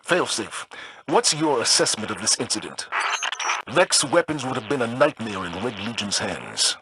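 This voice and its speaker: A stern male commander from a video game. He is formal and authoritative with lively intonation, over clear background noise. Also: fairly low pitch.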